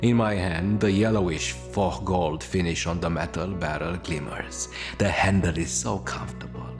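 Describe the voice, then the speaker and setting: A man's voice: deep voice, steady tone, normal speed. A man playing a melancholic, world-weary radio host, delivering a brooding, wry confessional monologue in florid words. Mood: musing, wry. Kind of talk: scripted radio monologue, noir-like confessional narration. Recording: music under the voice. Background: guitar music.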